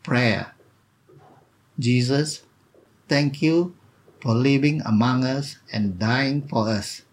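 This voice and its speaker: A man reading a scripted devotional in a solemn, deliberate manner, phrase by phrase. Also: medium pitch, breathy edge. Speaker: devotional reader.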